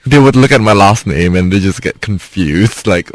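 A man's voice: deep voice, moderate pace, no room sound, rough recording. A young man with a mixed Singaporean and Indonesian accent, talking as an interview guest, hesitant with many fillers and restarts. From Southeast Asia. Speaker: guest, actor and filmmaker. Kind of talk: casual interview conversation.

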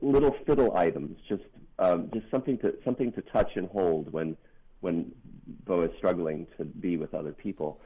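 A middle-aged non-binary author with a deep bass voice, speaking thoughtfully and earnestly in an interview, pausing on ums and restarting sentences. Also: husky. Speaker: author guest. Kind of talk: reflective interview talk. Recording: narrow phone-line sound.

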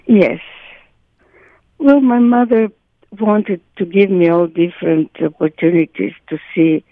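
Gravelly-voiced actress talking shop. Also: female voice, on the low side, measured pace, no echo, narrow, tinny audio. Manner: animated, restarts phrases often. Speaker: actress, guest. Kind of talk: interview chat.

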